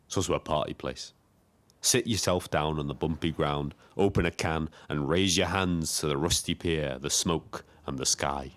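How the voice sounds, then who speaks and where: A very deep-voiced, lonely British cabbie. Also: male voice, a bit bright. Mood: wry, reflective. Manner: measured, wry, literary. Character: lonely, weary, observant young male taxi driver. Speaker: narrator. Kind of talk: scripted first-person narration.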